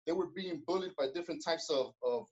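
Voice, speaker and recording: A man talks through his past as an interview guest, his voice sitting a little high for a man. He rambles in a loose, slangy way, circling back with fillers. The sound is a bit dull and lo-fi. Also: fast talker, darkish tone. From Los Angeles. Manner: laid-back street slang, many fillers and restarts. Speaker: interview guest, former gang member. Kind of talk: casual interview storytelling.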